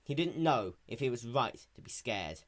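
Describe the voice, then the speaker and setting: A man's voice: mid-range voice, lively intonation, normal speed. A man narrating a scripted story in a dramatic reading style. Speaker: narrator of a fan fiction reading.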